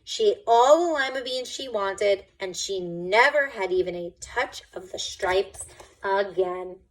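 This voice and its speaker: A woman's voice: medium pitch, lively intonation, unhurried, poor audio. A woman reading a picture book aloud to children, expressively and with dramatic emphasis.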